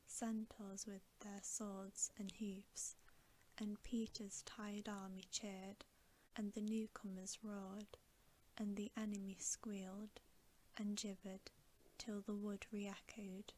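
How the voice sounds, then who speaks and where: A woman reading a story aloud as bedtime ASMR in a soft, breathy whisper, with gentle, hushed and measured narration. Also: medium pitch, slight background noise, low-quality recording.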